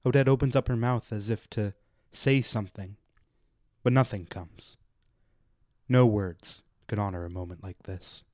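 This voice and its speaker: A man narrating a radio drama, reading stage directions in a deliberate, literary storytelling manner. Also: on the low side, dark tone, dry recording, studio quality.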